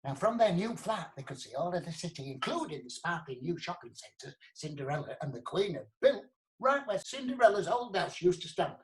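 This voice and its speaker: A man's voice: sits high, sing-song, brisk, low-quality recording. A man playing a cheery pantomime entertainer, telling a playful fairy tale in a radio comedy. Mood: playful storytelling. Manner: playful, addressing children and audience. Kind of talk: storytelling in a radio comedy drama.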